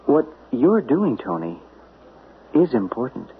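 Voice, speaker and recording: An actor playing a calm male literary agent in a 1970s radio drama, speaking earnestly and haltingly. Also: mid-range voice, breathy edge, full character voice. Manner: earnest, soft-spoken, halting. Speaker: Dick Harrison, a literary agent. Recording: tinny, lo-fi old radio broadcast.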